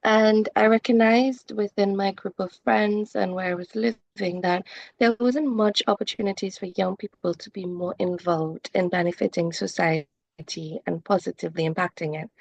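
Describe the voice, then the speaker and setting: A woman's voice: medium pitch, normal speed, vocal fry, no room sound, muffled recording. A young woman, a youth advocate on a panel, answering in a fluent, composed and reflective way. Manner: fluent and composed, steady flow.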